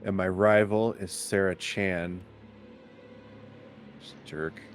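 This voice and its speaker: A man's voice: sits low, steady tone, slow, faint music behind. A man playing a tabletop game, chatting casually and jokingly about his character.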